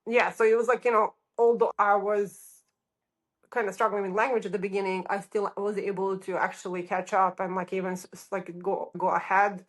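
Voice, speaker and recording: A woman's voice: mid-range voice, flat delivery, moderate pace. A middle-aged woman from Serbia with a Serbian accent, telling her story as an interview guest in an informal, chatty way peppered with "like". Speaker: architect, podcast guest.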